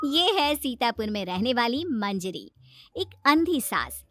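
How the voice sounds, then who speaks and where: High-voiced woman narrating a story. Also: very animated, a little slow. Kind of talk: scripted children's story narration.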